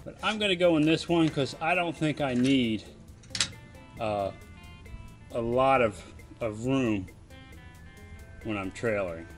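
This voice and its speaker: A man giving a casual step-by-step how-to while working on a boat trailer on his driveway, often turning away from a low camera. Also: slow, pauses often, soft background music. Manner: plain, practical, step-by-step explaining while working. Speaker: DIY vlogger. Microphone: camera mounted low on the ground, voice often off-mic.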